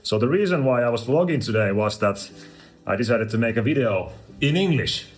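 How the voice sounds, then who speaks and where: A man's voice: mid-range voice, lively intonation, fairly quick, soft background music. A man with a Finnish accent vlogging casually outdoors in English, playful and self-mocking, laughing often. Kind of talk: casual vlog chat. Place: outdoors by the water.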